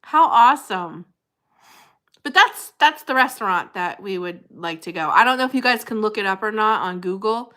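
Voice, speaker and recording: A woman with a Jersey accent talks at her apartment desk, rambling through a casual livestream and breaking into high, excited exclamations as she reads comments. Her voice is bright and clear, and she sounds a bit stuffy from a runny nose. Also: normal speed. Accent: New Jersey. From New Jersey. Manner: chatty, rambling, reacts to chat with sudden high exclamations. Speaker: livestream host.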